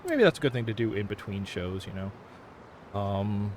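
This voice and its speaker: Lively man streaming casually. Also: lowish voice, background noise. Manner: thinking aloud, halting. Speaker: game livestreamer. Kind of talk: casual livestream chat while gaming.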